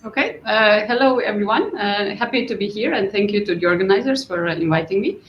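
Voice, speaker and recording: A woman's voice: mid-range voice, a little fast. Woman, thoughtful, hesitant, repeats words, roomy dull video-call sound. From Romania. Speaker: research scientist. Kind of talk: panel discussion answers. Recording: echoey, muffled line with faint noise.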